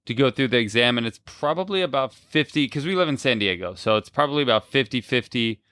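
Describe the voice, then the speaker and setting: Male podcast co-host, smooth mid-range voice, very dry recording, casual explanatory conversation. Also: mid-range voice, lively intonation, fast talker, a bit bright, dry recording.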